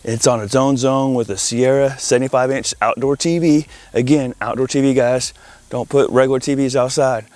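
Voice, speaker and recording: An upbeat man presents a casual walkthrough in the open air, with very little echo on his voice. He talks informally and directly to viewers, like an installer proudly showing his work. His pitch sits in the middle range for a man. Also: steady tone, normal speed, a little mellow. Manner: enthusiastic, informal, addresses viewers as guys. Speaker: audio-video installer presenting a project tour. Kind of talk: casual walkthrough vlog to camera. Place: outdoors in a backyard by a pool.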